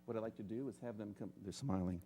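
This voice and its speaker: A man speaking at a public board meeting, introducing medical students in a measured, plain way. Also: lowish voice, warm tone, slight background noise. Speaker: medical school program official.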